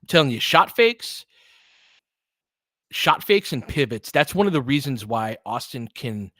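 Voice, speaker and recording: Male basketball play-by-play commentator, medium pitch, clipped and informal delivery, dry close sound. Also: big pitch swings, unhurried, fairly bright tone, no room sound. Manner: clipped phrases, informal asides.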